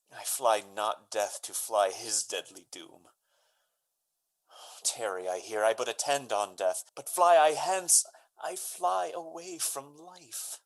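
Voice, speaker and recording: A man playing a lovesick, despairing young gentleman in a Shakespearean radio drama, declaiming with anguish. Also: mid-range voice.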